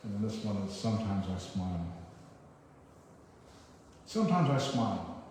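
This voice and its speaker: A man with a fairly low, soft-edged voice reads poetry and talks informally around it. He is picked up from far off in a hugely echoing hall, with some noise in the recording. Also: sing-song, normal speed. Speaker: poetry reader at an open reading. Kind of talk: poetry reading with casual spoken introductions. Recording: very echoey hall, far mic, noisy.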